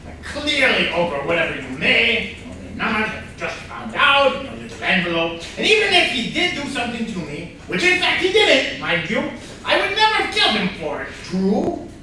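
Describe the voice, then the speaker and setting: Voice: mid-range voice, sing-song, normal speed, sharp tone. An actor playing a bitter, flamboyant jilted man in a comedy stage reading, theatrical and stammering with gleeful spite. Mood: defensive. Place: room at a live staged reading. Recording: distant, echoey room with faint noise.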